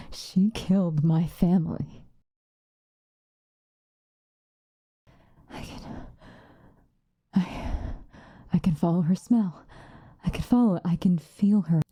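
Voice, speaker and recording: A woman playing a centuries-old female vampire, possessive and vengeful, in a soft, breathy, intense ASMR roleplay. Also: lowish voice, dry recording, clean studio sound. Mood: vengeful, obsessive. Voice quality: soft, breathy, often whispered. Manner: intense, dramatic, slow with long pauses.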